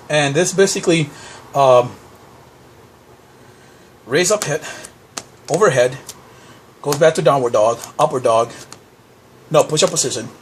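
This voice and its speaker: A man's voice: on the high side, slight background noise. A young man from Puerto Rico whose first language is Spanish, rambling through a casual show-and-tell with many fillers and restarts. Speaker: vlogger. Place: dim room at a desk. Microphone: handheld camera pointed at desk.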